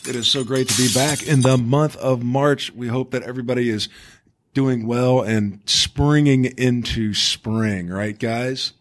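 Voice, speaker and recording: A man's voice: mid-range voice, steady tone, measured pace. A man hosting a casual school podcast, encouraging his co-hosts and prompting them with questions. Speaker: podcast host.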